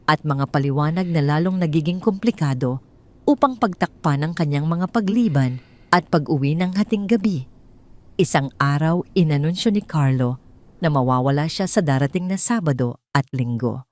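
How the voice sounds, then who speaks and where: Low-voiced Filipino woman narrating drama. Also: lively intonation, moderate pace, a little mellow. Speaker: storyteller, narrator. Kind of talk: scripted dramatic audio story narration.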